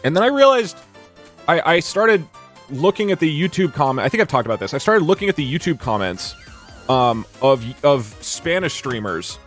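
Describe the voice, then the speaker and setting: A man's voice: on the high side, normal speed, soft background music. A man streaming from a green screen setup, chatting casually and rambling with lots of restarts while reacting to viewer comments. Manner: rambling, animated, frequent restarts and fillers. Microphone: desk mic at a green screen setup.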